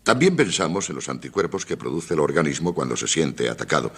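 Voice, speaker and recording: An actor in a radio drama playing an authoritative, methodical doctor with a gravelly, husky voice and a formal, measured way of explaining. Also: male voice, extremely low pitch, sing-song, heavily acted. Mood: assured. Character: authoritative male doctor briefing a surgical expedition, calm, methodical.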